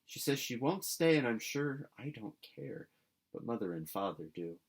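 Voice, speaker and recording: A man reading a storybook as an excitable, timid young girl, exclamatory and dramatic. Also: medium pitch, normal speed. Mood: agitated.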